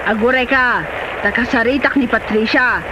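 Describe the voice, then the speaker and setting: Casting: actress as meddling middle-aged mother, Ilocano accent, high voice, comedy drama, noisy location sound. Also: steady tone, normal speed, lo-fi sound, a crowd in the background, lightly acted. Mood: inquisitive, chatty. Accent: Filipino Ilocano. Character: chatty, meddling, well-meaning mother and auntie.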